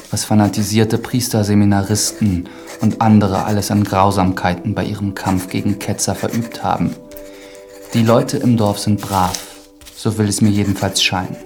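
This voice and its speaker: A solemn priest with low, creaky voice. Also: male voice, a little mellow. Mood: grave, troubled. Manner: measured, formal, solemn. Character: earnest, pious priest investigating a death, medieval-style world. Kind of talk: scripted radio drama monologue, journal narration. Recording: music behind the voice.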